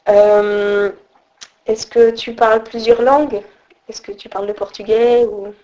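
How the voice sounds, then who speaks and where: A woman's voice: on the high side, fairly even intonation, measured pace, dark tone, poor audio. A woman interviewing a man, asking brief prompting questions. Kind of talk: oral history interview.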